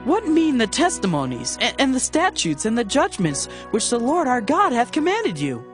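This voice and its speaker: A woman's voice: fairly high pitch, wildly swooping pitch, moderate pace, soft background music. A woman voicing a young son who earnestly asks his father a question in a dramatized scripture reading. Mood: curious.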